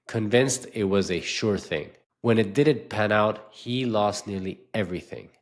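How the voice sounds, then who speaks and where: A man delivering a scripted motivational talk as an investor and coach, in a measured, emphatic way with deliberate pauses. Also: on the low side.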